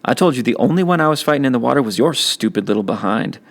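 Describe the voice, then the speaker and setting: A man's voice: medium pitch, animated, fast, pitched above the performer's own voice. Man playing a blunt, caring teenage big brother. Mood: scolding. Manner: blunt, slangy, gruffly reassuring. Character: tough but caring adolescent big brother. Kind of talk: audiobook character acting.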